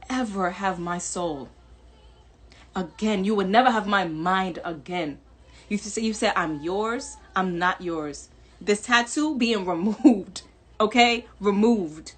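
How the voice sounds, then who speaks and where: A woman's voice: medium pitch, fairly bright tone. A woman from New York with a Jamaican-American accent, talking candidly and emphatically to viewers, repeating herself and laughing between points. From New York, Jamaican family. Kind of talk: candid personal video monologue.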